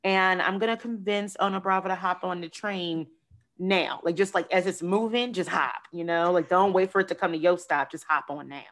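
A woman hosting a podcast in a casual, playful, animated way, calling listeners "y'all" and "honey.". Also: on the low side, moderate pace. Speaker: podcast host. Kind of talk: casual chat.

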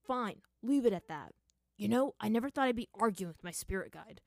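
A woman's voice: a little high, expressive, brisk, creaky, no echo, clean studio sound, voice pushed up. A woman voicing a moody, skeptical teenage boy in a story, complaining and argumentative. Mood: sullen.

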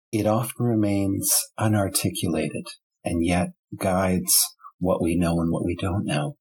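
A man whose first language is German speaks as an author being interviewed. His voice sits very low and sounds husky and rough-edged. He answers in a measured, reflective way, pausing often and adding fillers as he gathers his thoughts. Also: darkish tone, poor audio.

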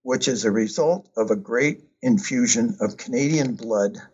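A man talking about his book in a casual, hesitant way, with many ums and uhs and frequent pauses. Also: mid-range voice, a little mellow, slightly rough. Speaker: author discussing his book. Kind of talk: casual conversational talk. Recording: dull lo-fi sound.

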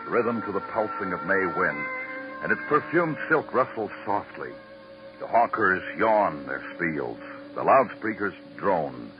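An actor playing a terse, world-weary police detective in a 1950s radio crime drama. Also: male voice, low-pitched. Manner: clipped questions, brooding poetic narration. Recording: muffled old radio recording, music behind.

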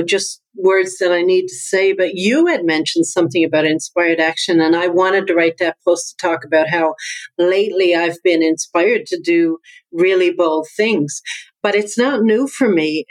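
Newfoundland-accented woman, low voice, earnest guest, dry close sound. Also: no room sound, rough recording. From Newfoundland, Canada. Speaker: guest, business coach. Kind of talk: conversational interview.

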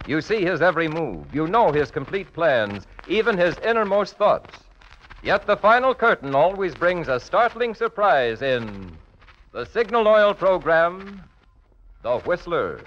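A man's voice: a little high, big pitch swings, measured pace, a little hiss or hum. A man announcing in a polished, emphatic 1940s radio style, presenting and selling. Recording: muffled old radio recording.

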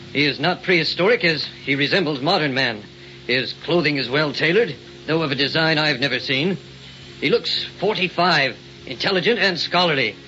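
A man's voice: a little high, pushed and bright. An actor playing a polite but insistent, learned museum man in old-time radio drama, speaking in precise, formal phrases. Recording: dull, noisy old radio recording.

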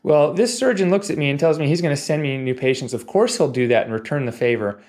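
A man's voice: fairly high pitch, fast talker, a little mellow. Man in his forties, casual storyteller. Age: 40s. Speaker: podcast guest, physical therapist. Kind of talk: casual storytelling in a podcast interview.

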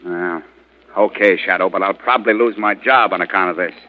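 An actor playing a gruff, grudging police commissioner in old radio drama style. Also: male voice, deep voice, brisk, narrow, tinny audio, close to an everyday voice. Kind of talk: 1940s radio acting.

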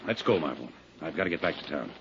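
Gruff hot-tempered radio tough guy. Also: rumbling low, expressive, quick pace, exaggerated voice. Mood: sullen, threatening. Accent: American. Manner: gruff, curt, threatening. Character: hostile, hot-tempered, jealous male engineer tough guy. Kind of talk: 1950s American radio drama acting. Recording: muffled, hissy vintage 1950s radio recording.

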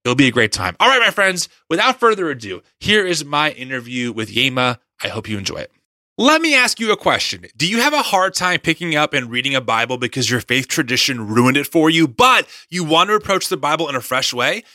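A man's voice: on the high side, very animated, fairly quick, bright, forceful tone, dry recording, studio quality. A man hosting a podcast in an upbeat, conversational way, casually introducing and interviewing. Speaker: podcast host.

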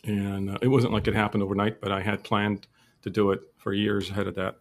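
A low-voiced man in his forties, from Lebanon, recounting his life to interviewers. His delivery is flat and even, measured and unhurried, with plenty of ums and uhs. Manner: steady, measured storytelling with frequent fillers. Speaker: guest. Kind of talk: casual interview.

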